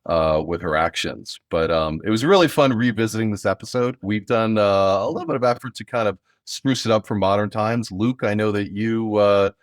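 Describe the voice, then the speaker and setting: Guy hosting a podcast, chatting casually, lowish voice, really dry sound. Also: normal speed, few pauses, no room sound. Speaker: podcast host and audio drama writer. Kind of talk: casual chat introducing an episode.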